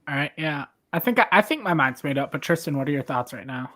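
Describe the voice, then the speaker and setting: A man's voice: fairly high pitch, animated, fast, fairly bright tone, no room sound. A man chatting casually as the host and judge of a podcast debate. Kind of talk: casual chat.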